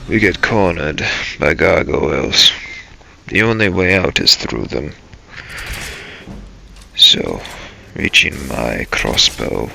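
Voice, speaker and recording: A man tells a first-person adventure story over gameplay. His voice is very bright and forceful with heavy fry, and he moves slowly, in broken phrases. There is faint noise behind him. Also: low-pitched. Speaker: video maker narrating a game role-play.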